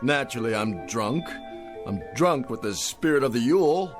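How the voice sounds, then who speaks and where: An actor playing a tipsy, good-hearted, bewildered store Santa with florid, theatrical phrasing in radio drama style. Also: male voice, medium pitch, expressive, normal speed, over music, natural voice. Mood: tipsy, exultant.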